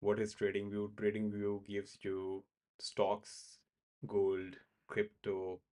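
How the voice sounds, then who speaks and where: Man from Pakistan, low voice, halting casual livestream talk full of "uh" and repeats. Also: completely flat delivery, mellow. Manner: halting, many fillers and repeated words. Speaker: crypto trading livestream host. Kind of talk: casual live-stream chat answering viewers.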